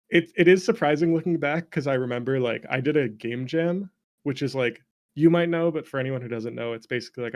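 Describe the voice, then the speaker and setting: A man around thirty with a North American accent telling stories casually as an interview guest, self-deprecating, swearing freely and laughing easily. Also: mid-range voice. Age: late 20s to early 30s. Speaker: interview guest, game developer. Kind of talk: casual conversational storytelling.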